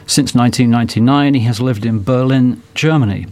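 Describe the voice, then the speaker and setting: A man interviewing an artist in easy conversation. His voice sits in the middle range. He talks in a chatty way with quick asides. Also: medium pitch, darkish tone, dry recording. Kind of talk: casual interview talk.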